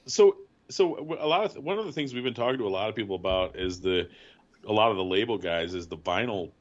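Man, podcast host, rapid chatty questions, lowish voice, muffled audio. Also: expressive. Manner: quick, chatty questions with restarts. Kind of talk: casual interview.

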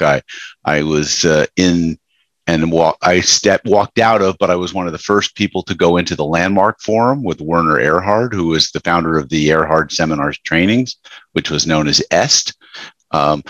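A man's voice: deep voice, a bit bright, no echo. A middle-aged man from New York, a business coach telling his life story as a podcast guest, rambling with fillers and restarts. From New York, USA. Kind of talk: casual interview storytelling.

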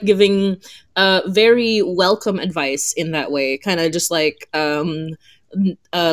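Lower-pitched woman, casual podcast host. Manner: hesitant, informal, searching for words. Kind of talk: casual chat.

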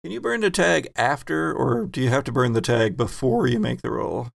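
Man, game master, gravelly, dry close sound, measured rule explanations. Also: medium pitch, no echo. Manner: measured, explains rules and rulings. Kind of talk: running a tabletop game.

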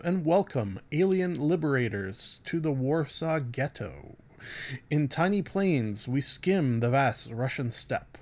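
A man voicing a grave, melodramatic narrator in a dramatic reading of epic book jacket copy, with halting, heavy emphasis. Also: mid-range voice, darkish tone. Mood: solemn, rousing. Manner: theatrical, halting, heavily emphatic.

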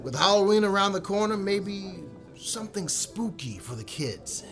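An actor playing an affable, glib radio network executive in an audio drama, with a breezy, casual manner. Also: male voice, high-pitched, very animated, normal speed, over music.

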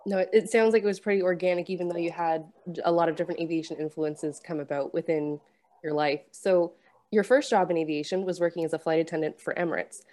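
A woman hosts an interview podcast with lively enthusiasm, introducing her guest and asking about her career. Her voice sits a little low and she moves along at a brisk clip. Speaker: podcast host.

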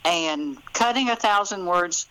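A woman's voice: fairly low pitch, lively intonation, unhurried, a bit bright, clean tone, rough recording. An older American woman, telling stories conversationally as an author being interviewed.

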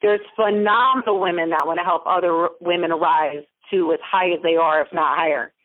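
A woman's voice: on the low side, fairly even intonation, normal speed, no room sound. Woman mentor and founder, emphatic motivational speaker, tinny phone-line audio. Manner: emphatic, motivational, stacks repeated phrases. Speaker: guest, mentor and business founder. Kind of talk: interview over a phone line.

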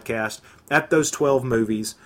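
A man's voice: mid-range voice, moderate pace. A man hosting a podcast in casual chat, with a bit of sinus congestion, rambling with a self-deprecating manner. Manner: rambling, self-deprecating, many fillers and restarts. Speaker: podcast host.

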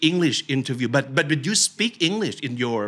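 A man's voice: mid-range voice, very animated, quick pace, fairly bright tone. A man hosting an interview, friendly and encouraging, with frequent backchannel replies. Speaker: interview host. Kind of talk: casual interview chat.

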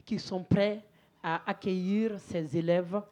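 A woman from Mali, answering questions on a panel in a halting way, repeating words and often saying "voilà". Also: lowish voice, steady tone, warm tone. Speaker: panel speaker, head of an education organization. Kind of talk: answering questions through an interpreter.

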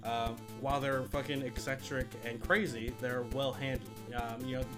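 A man's voice: mid-range voice, fairly even intonation, moderate pace, music in the background. A man co-hosting a podcast, talking casually and enthusiastically about films with some swearing. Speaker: podcast co-host presenting a movie countdown. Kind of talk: casual film discussion.

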